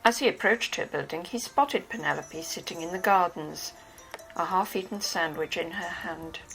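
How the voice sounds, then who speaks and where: A woman's voice: lowish voice, normal speed, vocal fry, a bit bright, low-quality recording. A woman narrating a novel in a scripted, literary reading style.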